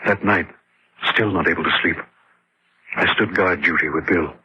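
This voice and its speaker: A man's voice: low-pitched, normal speed, pushed and bright, breathy edge, no echo, full character voice. An actor playing an exhausted, grieving man who narrates his haunting in a confessional way in an old radio horror drama. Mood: numb, haunted. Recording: dull lo-fi old radio recording.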